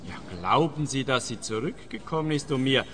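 A man playing an agitated, paranoid complainant in a radio play, indignant and halting, repeating his words, in a reverberant hall. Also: medium pitch, a bit bright, a little hiss or hum, lightly acted. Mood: flustered. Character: agitated, paranoid, whiny bald male complainant. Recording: long reverb of a large hall.

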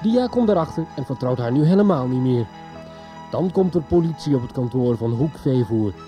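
A man's voice: mid-range voice, lively intonation, normal speed, mellow. A man narrating a scripted recap for a radio serial. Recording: music behind the voice.

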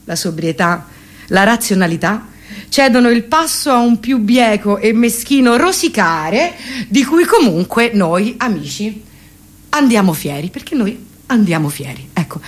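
High-voiced, mock-solemn woman comic. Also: big pitch swings, unhurried. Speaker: comic performer. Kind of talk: satirical stage monologue between songs.

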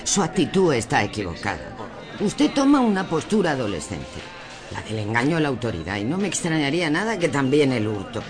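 A man's voice: medium pitch, wildly swooping pitch, a little fast. A man playing a docile, smug female shopper in a surreal radio drama, theatrical and preachy, with a Castilian Spanish accent. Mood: preachy, insistent. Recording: music under the dialogue.

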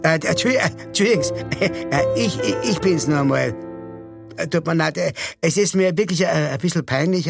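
A man's voice: a little high, creaky, no echo. A man playing a courteous, droll announcer in a radio play, formal and wry in manner. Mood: embarrassed, apologetic. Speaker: presenter.

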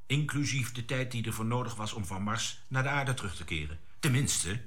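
A man's voice: mid-range voice, a little fast, a bit bright, natural voice. A man playing a direct, insistent spaceship captain in a science fiction radio play. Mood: indignant. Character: male spaceship captain, insistent, bewildered crew leader. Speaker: actor in a science fiction audio drama.

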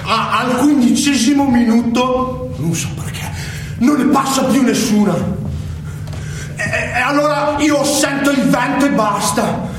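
An actor playing an unhinged, foul-mouthed young delinquent in a stage monologue, halting and repetitive, swinging between muttering and shouting. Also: male voice, very high-pitched, background noise. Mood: uneasy, brooding. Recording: echoey stage, distant mic, loud music.

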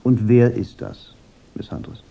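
A man playing a cool, sardonic private detective in a German radio crime drama, speaking in a dry, measured, laconic way. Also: mid-range voice, fairly even intonation, gravelly, dark tone. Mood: controlled, accusing. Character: cool, sardonic private detective, unmasking killers with weary confidence. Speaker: detective and first-person narrator.

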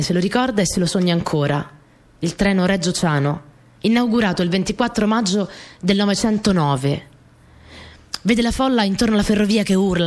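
A woman's voice: fairly low pitch, lightly acted. An actress playing a young peasant girl who recounts her rural family life in simple, repetitive phrases for a radio play. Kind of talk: first-person dramatic storytelling.

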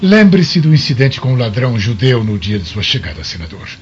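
Man playing a smooth-talking roman governor, brazilian radio drama, very lively pitch, formal and ingratiating, slightly dull recording. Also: brisk, faint music behind, close to an everyday voice. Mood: solicitous. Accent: Brazilian Portuguese. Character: courtly, unctuous Roman governor, mature man. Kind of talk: radio soap opera acting.

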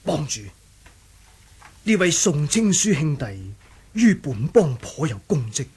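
Scheming sect elder, male actor, eloquent and deferential, slightly high husky voice, wuxia radio play. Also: very animated, takes it slowly, darkish tone, exaggerated voice. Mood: respectful, persuasive. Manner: deferential, theatrical, persuasive. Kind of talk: Cantonese martial-arts radio drama acting.

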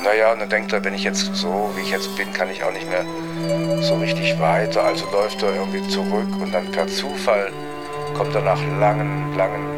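A man's voice: deep voice, steady tone, normal speed. A man telling a long joke in a spontaneous, rambling way, circling back and acting out short quotes. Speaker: storyteller. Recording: loud music underneath the voice. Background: loud instrumental music.

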